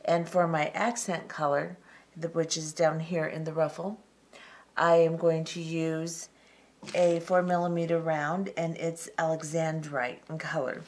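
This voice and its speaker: A middle-aged woman hosting a step-by-step beading tutorial, talking calmly and methodically with frequent ums. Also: low voice, unhurried. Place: tabletop craft workspace, indoors.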